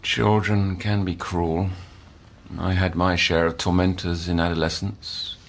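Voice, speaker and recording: An actor playing a measured, soothing psychiatric counsellor who probes patiently and deliberately in an audio drama. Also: male voice, low voice, flat delivery, slight background noise. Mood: measured, reflective.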